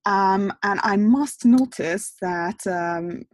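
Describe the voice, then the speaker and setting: A woman's voice: medium pitch, takes it slowly, dry recording. A woman hosting a podcast interview, asking encouraging questions. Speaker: podcast host.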